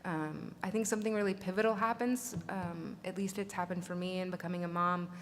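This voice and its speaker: A woman artist giving a grateful, halting panel talk about becoming a mother. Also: on the low side, fairly even intonation. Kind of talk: conference talk. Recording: reverberant hall with faint noise.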